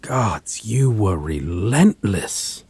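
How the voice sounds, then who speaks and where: Man as a slow, low, possessive vampire. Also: expressive, darkish tone, breathy edge, no echo, exaggerated voice. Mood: longing, intense. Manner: formal, theatrical, ornate wording, lingering pauses. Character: possessive male vampire, menacing, wistful, aristocratic. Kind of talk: dramatic audio roleplay monologue to a listener. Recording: faint noise, rain and thunder ambience.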